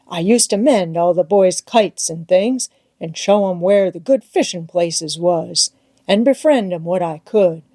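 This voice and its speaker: A woman's voice: on the low side, warm tone, dry recording, studio quality, lightly acted. A woman voicing a grateful, remorseful old drunkard in jail for an audiobook, in humble, rambling rustic dialect.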